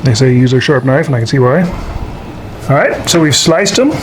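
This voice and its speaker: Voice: mid-range voice, lively intonation, brisk, a little hiss or hum, rough recording. A folksy, jokey host casually talking through a baking demonstration to camera. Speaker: host of a paranormal show doing a baking segment.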